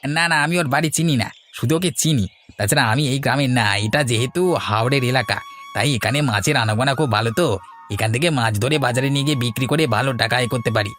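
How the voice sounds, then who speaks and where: A poor, hardworking village fisherman, played by a man in a cartoon folktale. He is world-weary but talks rapidly, at a middling pitch. Faint music plays behind. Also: fairly bright tone, natural voice. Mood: matter-of-fact. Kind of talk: cartoon fairy-tale voice acting.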